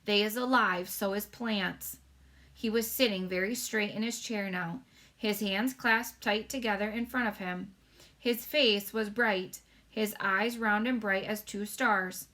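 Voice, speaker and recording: A woman reading a children's story aloud as narrator, in expressive scripted narration. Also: mid-range voice, measured pace. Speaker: storybook reader.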